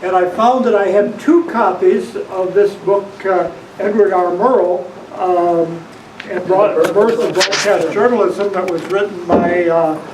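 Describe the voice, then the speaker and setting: A man's voice: high-pitched, fairly even intonation, measured pace. A man, a club member, making informal remarks from away from the microphone in a meeting room. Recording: distant, echoey, noisy room pickup.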